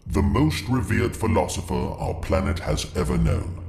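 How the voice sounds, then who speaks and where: A man playing a stern alien conqueror in an audio drama, formal and commanding, with a gravelly voice. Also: on the low side, sing-song, moderate pace, a little mellow, a little hiss or hum, full character voice. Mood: stern, threatening. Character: stern imperious alien conqueror, rigid about discipline.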